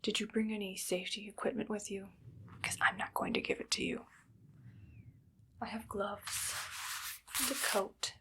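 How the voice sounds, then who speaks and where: A woman playing a menacing, condescending evil doctor in a soft, whispered ASMR roleplay, speaking close to the mic with deliberate phrasing. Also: mid-range voice, steady tone. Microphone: close ASMR microphone.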